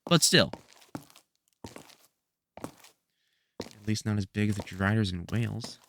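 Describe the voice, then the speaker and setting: A man's voice: lowish voice, steady tone, fairly quick, a bit bright, slight background noise. A man playing a protective, sardonic veteran vampire hunter in an audio roleplay, scolding fondly in colloquial speech. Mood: fond, teasing. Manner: colloquial, sardonic, scolding mentor with mild swearing. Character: gruff, protective veteran male vampire hunter, exasperated but fond. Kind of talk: scripted audio roleplay, one-sided conversation.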